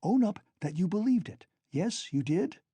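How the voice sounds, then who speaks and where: A man's voice: high-pitched, big pitch swings, normal speed, warm tone, slightly rough, no echo, pitched above the performer's own voice, heavily acted. A man voicing a feverish, bitter young man. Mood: taunting. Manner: mocking, then pleading in a frenzy.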